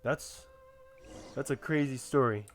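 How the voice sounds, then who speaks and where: A man playing a video game and reacting casually out loud. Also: mid-range voice, unhurried, soft background music.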